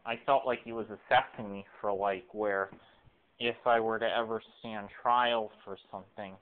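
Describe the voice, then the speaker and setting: Male, late 20s, confessional rambler, halting and repetitive delivery, weak narrow-band phone-camera sound. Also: lowish voice, steady tone, smooth. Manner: halting, repeats and rephrases himself. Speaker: video blogger. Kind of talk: rambling personal confessional monologue. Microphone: cell phone camera microphone. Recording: weak, muffled lo-fi cell phone audio.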